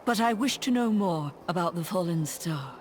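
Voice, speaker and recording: A woman's voice: fairly low pitch, animated, normal speed, darkish tone, a little hiss or hum, close to an everyday voice. An actress playing an aloof female necromancer in a fantasy game, speaking in a composed, formal and solemn manner. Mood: cool, resolute.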